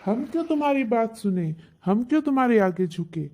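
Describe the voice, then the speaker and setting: A woman puts on a proud, towering tree from a children's storybook. It speaks loudly and emphatically, looking down on humans with haughty defiance. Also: fairly high pitch, sing-song, a little fast, voice pushed down. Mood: haughty, defiant. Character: arrogant tall trees defying the humans.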